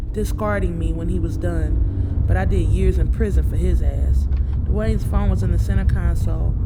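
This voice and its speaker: A woman's voice: lowish voice, creaky, heavy background noise. A woman narrating a story in the first person with dramatic, emphatic, slangy delivery. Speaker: audiobook narrator.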